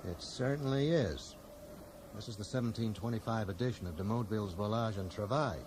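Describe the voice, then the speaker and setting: An actor playing a dogged, dry-witted bookseller who questions people like a detective, direct and wry, in a radio drama. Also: male voice, lowish voice, fairly quick, natural voice. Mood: wry, interested. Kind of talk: 1980s radio drama acting. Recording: noisy 1980s radio broadcast.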